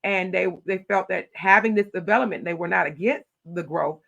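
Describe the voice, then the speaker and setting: A middle-aged woman, a county commissioner telling stories in an animated, loud voice. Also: medium pitch, creaky. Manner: animated storytelling, acting out her own quoted replies. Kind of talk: casual interview talk.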